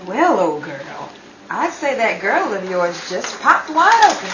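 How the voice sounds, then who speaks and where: A woman's voice: medium pitch, big pitch swings, measured pace. A woman playing a wry, teasing friend of a house seller in 1940s radio comedy style, with a sardonic drawl. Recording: roomy, distant mic, clear background noise.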